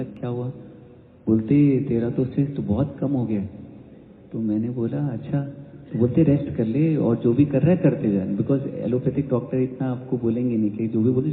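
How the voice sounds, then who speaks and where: Man, singer giving a testimony, storytelling, long echo of a big hall, thin phone-like sound. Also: medium pitch. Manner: storytelling, switching often between Hindi and English. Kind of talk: personal testimony at a devotional gathering.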